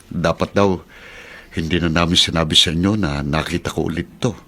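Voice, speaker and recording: A man's voice: low-pitched, fairly even intonation, fairly quick, pushed and bright, slight background noise. A man playing a humble, caring working-class taxi driver in a radio drama, deferential, hesitant and earnest. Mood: pleading. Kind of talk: Filipino radio drama acting.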